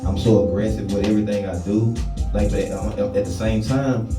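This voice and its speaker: Low-voiced young man rapper, roomy distant mic. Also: flat delivery, normal speed. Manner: loose, repeats "you know what I'm saying". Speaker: rapper guest. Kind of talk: casual interview chat. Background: music playing.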